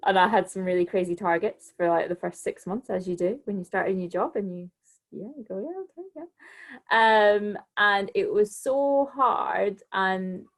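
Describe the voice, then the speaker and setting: A woman answering as a panellist in an informal, candid, conversational way. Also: fairly low pitch. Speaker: arts organisation leader, panellist.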